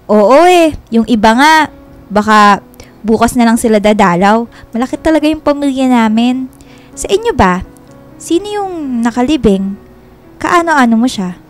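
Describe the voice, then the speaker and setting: Actress as a chatty, easily delighted young Filipino woman for radio romance, high-pitched, with soft music underneath. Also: animated, measured pace, long pauses. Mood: friendly. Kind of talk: radio drama acting.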